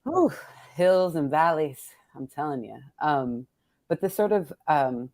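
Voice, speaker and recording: A woman's voice: low-pitched, lively intonation, slow, a little mellow. A woman hosting a poetry event in casual, reflective conversation, thinking aloud in a playful, colloquial way. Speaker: poet hosting an event with her readers.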